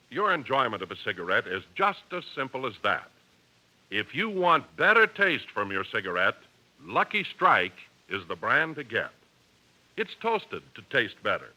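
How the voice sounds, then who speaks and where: An American man working as a radio announcer, reading scripted links and sponsor pitches emphatically on an old 1950s broadcast. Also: bright, forceful tone, poor audio.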